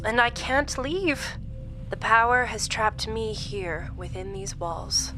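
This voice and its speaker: Scheming inhuman female captor, horror audio drama, theatrical, unhurried, very animated pitch, calm gloating manner, music behind. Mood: gloating, confiding. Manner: deliberate, theatrical, mock-polite. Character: sinister supernatural woman captor, calm, gloating, scheming entity.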